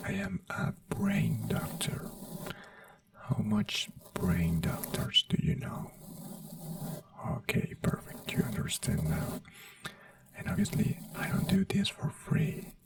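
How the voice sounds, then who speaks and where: A non-native English speaker in a soft-spoken ASMR doctor roleplay, whispering breathily in a gentle, reassuring manner. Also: mid-range voice, gravelly, distant mic. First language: not English. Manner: gentle, reassuring personal attention. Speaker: ASMR performer playing a brain doctor.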